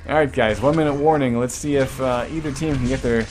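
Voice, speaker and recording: A man's voice: mid-range voice, a little fast, a little mellow, soft background music. A man giving casual, joking play-by-play commentary on an online game match, swearing freely. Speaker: esports commentator.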